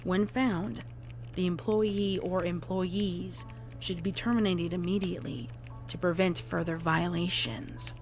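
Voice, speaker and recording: A woman's voice: fairly low pitch, steady tone, a little slow, faint music behind. A woman reading a scripted horror story as a narrator, in the form of a report. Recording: clear background noise.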